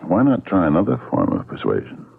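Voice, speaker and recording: A man's voice: low voice, wildly swooping pitch, brisk, gravelly, slightly rough, full character voice. An actor playing a menacing, suave man who taunts with mock politeness and lords it over others, in old-time radio drama style. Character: menacing, mocking man lording it over his enslaved friend. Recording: muffled, phone-like old radio sound.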